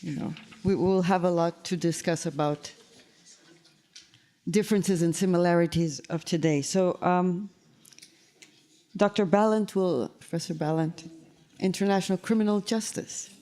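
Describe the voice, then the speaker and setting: A man's voice: high voice, fairly even intonation, normal speed, pauses often, dark tone. A man chairing an academic panel, moderating informally between speakers.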